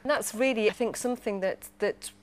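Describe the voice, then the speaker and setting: A woman's voice: a little high, a little fast. A woman, speaking reflectively as a teacher in a panel discussion.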